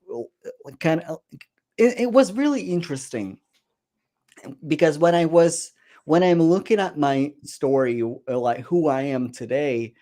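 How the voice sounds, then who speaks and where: A man's voice: a little high, animated, measured pace, mellow. A man in his thirties from Russia, a career coach telling his life story as a podcast guest in a loose, filler-heavy way. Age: 30s. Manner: conversational, many fillers and restarts.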